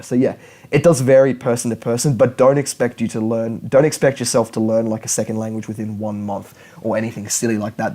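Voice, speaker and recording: A young man talks straight to camera in a relaxed, unscripted lesson. He rambles a little, doubling back on words and restarting phrases as he explains. His voice sits at an ordinary middle pitch for a man. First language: English. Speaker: language learning YouTuber.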